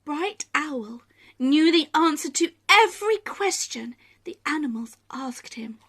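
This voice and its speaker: A woman reading a children's bedtime story expressively, with dramatic pauses and emphasis. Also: high-pitched, lively intonation, a bit bright.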